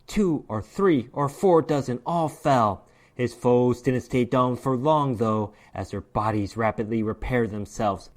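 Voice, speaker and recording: A man narrating a horror short story as a dramatic reading, with theatrical, emphatic delivery. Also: medium pitch.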